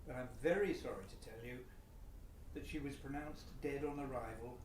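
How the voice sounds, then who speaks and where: A British actor playing a polite, sober policeman with a formal, measured delivery in a radio play. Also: medium pitch, lightly acted. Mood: grave, sympathetic. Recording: echoey, distant, noisy room.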